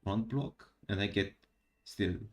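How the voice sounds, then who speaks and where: A man from Romania with a Romanian accent, chatting casually about a game late at night. He sounds tired and talks haltingly, with many fillers. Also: fairly low pitch. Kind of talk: casual gaming chat.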